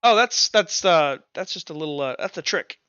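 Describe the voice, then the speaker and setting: Man playing a flippant, callous professor, bright-voiced. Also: on the high side, animated, moderate pace, clear, resonant tone, dry recording, highs cut off, soft background music. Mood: flippant, evasive. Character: callous, nosy, flippant hard-drinking male professor.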